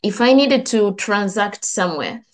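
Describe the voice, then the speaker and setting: A woman talks as an interview guest at a middle pitch. She speaks casually and earnestly, often restarting and correcting herself mid-sentence. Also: lively intonation, unhurried. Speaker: guest, nursing student.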